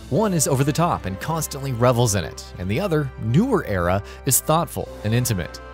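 A man reading a scripted video narration as the narrator. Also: mid-range voice.

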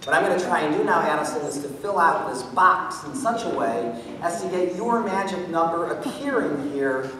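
A man's voice: on the high side, lively intonation, normal speed, hardly any pauses, bright, forceful tone, slight background noise. A middle-aged man presenting a maths magic act on stage in a playful, theatrical, showman-like way. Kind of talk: live stage show patter. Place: auditorium stage. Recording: echoey hall recorded from far off.

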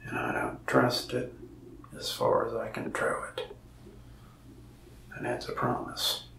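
A young man talking softly and partly whispering as a folksy mask shop salesman in an ASMR roleplay, rambling in an easy way. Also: fairly low pitch, big pitch swings, takes it slowly, vocal fry, roomy sound, recorded from a distance. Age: young adult. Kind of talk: soft-spoken ASMR roleplay. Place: indoor room before a wall of masks.

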